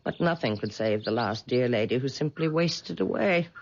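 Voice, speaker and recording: A woman's voice: very deep voice, animated, moderate pace, a little hiss or hum. An actress playing a stooped, eccentric old island woman obsessed with astrology, speaking in a theatrical, sly and foreboding way in a 1970s radio drama. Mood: ominous. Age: older. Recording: dull vintage radio broadcast.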